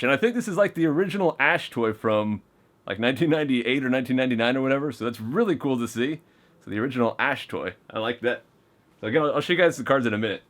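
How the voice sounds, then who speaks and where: A man casually opening fan mail on camera, chatty and excitable, reading letters aloud and stumbling over his words now and then. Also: mid-range voice.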